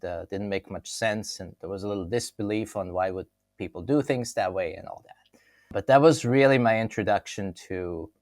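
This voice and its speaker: A man's voice: deep voice, no echo, clean studio sound. A middle-aged man from Austria, a company CEO talking through his career in a podcast interview, thoughtful, often restarting his phrases. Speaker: CEO guest. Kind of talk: conversational interview.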